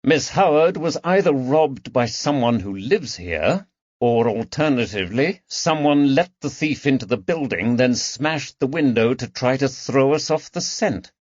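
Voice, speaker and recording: A man voicing a composed, methodical police detective with a measured, authoritative manner in an audiobook. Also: mid-range voice, lively intonation, no echo, muffled recording, voice pushed up. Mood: firm. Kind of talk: audiobook character dialogue.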